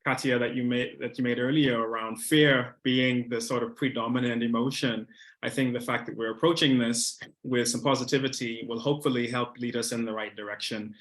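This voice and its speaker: Measured man moderating a panel. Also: medium pitch, steady tone, a bit bright. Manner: measured, hesitant with many fillers.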